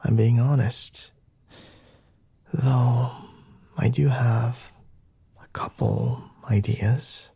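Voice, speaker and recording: A man voicing a flirty, refined vampire master in an ASMR roleplay, speaking in a formal, teasing, deliberate way with a husky, breathy, often whispered delivery. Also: medium pitch, fairly even intonation, mellow, no room sound, full character voice. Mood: smooth, teasing. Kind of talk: ASMR roleplay, addressing the listener.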